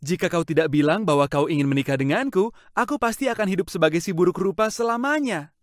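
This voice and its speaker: A woman voicing a gentle cursed male beast who turns back into a young prince, speaking in a weak, pleading way in a cartoon story. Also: lowish voice, expressive, fast, no echo, pitched below the performer's own voice, close to an everyday voice. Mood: earnest.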